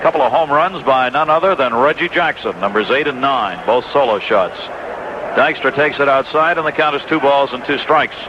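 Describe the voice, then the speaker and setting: An American man calling live baseball play-by-play on the radio, filling in scores from other games between pitches. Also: expressive, normal speed. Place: stadium broadcast booth. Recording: dull, noisy 1980s AM radio broadcast. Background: loud ballpark ambience and noise.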